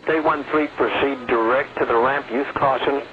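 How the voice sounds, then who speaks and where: A man's voice: mid-range voice, fairly even intonation, moderate pace. A man working as an airport tower controller, giving clipped, procedural air traffic instructions over radio. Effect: radio transmission. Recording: very tinny, clearly noisy aviation radio.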